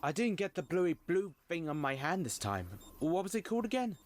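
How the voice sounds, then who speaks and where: A man's voice: sits high, very animated, fairly quick. A man playing a cheeky, wide-eyed teenage boy with a casual manner in a sci-fi audio drama. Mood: amused, excited.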